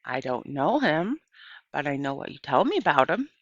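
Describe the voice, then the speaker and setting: A woman voicing a kind, plainspoken old woman in a storybook, with a folksy, unhurried, gently instructive manner. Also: sits low, very animated, no echo, dull audio, full character voice. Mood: gentle, plainspoken.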